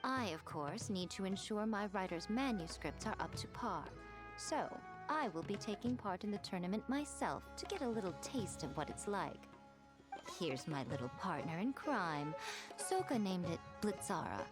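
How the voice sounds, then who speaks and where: A woman's voice: medium pitch, big pitch swings, moderate pace, natural voice. A woman playing a poised, teasing, self-assured chief editor in a video game, speaking in elegant phrases. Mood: smooth, confident. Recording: music behind the voice.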